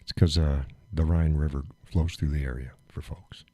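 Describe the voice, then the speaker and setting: A man hosting a live stream, chatting casually and rambling with fillers and chuckles, phlegmy and clearing his throat. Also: a bass voice, steady tone, moderate pace, mellow, dry recording. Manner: rambling, chuckling, thinks aloud with many fillers. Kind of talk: casual chat reading viewer comments.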